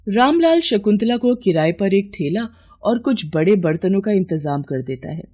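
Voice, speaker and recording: A woman's voice: medium pitch, big pitch swings, normal speed, mellow, muffled recording. A woman narrator reading a scripted moral story in a storytelling style.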